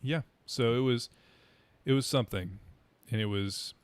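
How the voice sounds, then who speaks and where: A man's voice: mid-range voice, unhurried, clean tone, no room sound. A man co-hosting a casual podcast, chatting in an easygoing, upbeat way. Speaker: podcast co-host.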